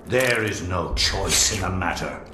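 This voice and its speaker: A cold, commanding cult leader gives orders in a measured tone. His voice sits low. The room echoes, and clear noise runs underneath. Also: animated. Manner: stern, measured, authoritative. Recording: roomy echo with clear noise.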